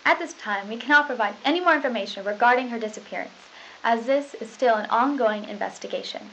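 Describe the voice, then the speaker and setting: A young woman co-anchoring a scripted school news broadcast from a studio desk, reading in an earnest, measured way. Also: a little high, fairly bright tone. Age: young adult. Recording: lo-fi hissy old tape.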